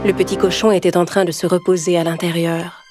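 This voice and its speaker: A woman's voice: on the low side, flat delivery, brisk. A woman reading scripted narration for a children's story as storyteller. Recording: loud music behind. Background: music.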